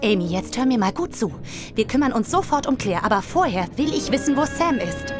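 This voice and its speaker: Young children's mother, worried, placating, swooping intonation, naturalistic radio play, loud music behind. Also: fairly high pitch, big pitch swings, brisk. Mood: alarmed, urgent. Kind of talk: naturalistic German radio drama acting.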